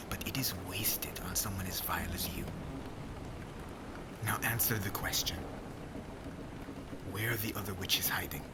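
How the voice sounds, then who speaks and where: A man playing a stern, brooding witch hunter in an ASMR fantasy roleplay, menacing and deliberate, often dropping into breathy half-whispers. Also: fairly low pitch, heavy background noise, close to an everyday voice. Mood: scornful, defensive. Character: stern, brooding young male witch hunter, captor aboard ship. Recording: loud rain, thunder and sea ambience.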